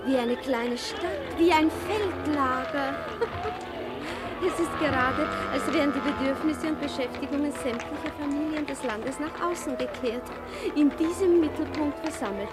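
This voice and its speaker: A woman's voice: sits high, a little slow, loud music behind the voice, a loud crowd. A woman acting in a German radio play as a curious, gracious young princess with a refined, eager manner. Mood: delighted, musing.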